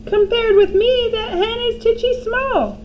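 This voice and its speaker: Woman playing a shrill bossy old grandma. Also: unhurried, a little hiss or hum, poor audio. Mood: boastful. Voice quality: shrill, shouting.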